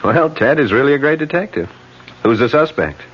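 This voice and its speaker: A man's voice: medium pitch, expressive, brisk, a bit bright, husky. An actor playing an earnest man pressing a woman friend to tell the truth in an old radio drama. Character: earnest, concerned male political advisor. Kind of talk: 1970s radio drama acting. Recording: dull lo-fi old radio broadcast.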